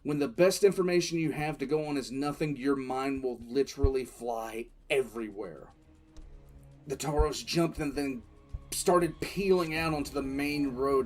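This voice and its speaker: A man's voice: medium pitch, lightly acted. A man playing a tense, frustrated military commissar telling his war story as dramatic first-person narration in an audio drama. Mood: brooding. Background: background music.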